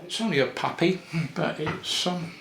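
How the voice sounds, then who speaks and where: An older man giving a casual hands-on repair tutorial, thinking aloud in an unhurried way with pauses. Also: on the high side, vocal fry. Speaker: electronics repair presenter. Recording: roomy echo with faint background noise.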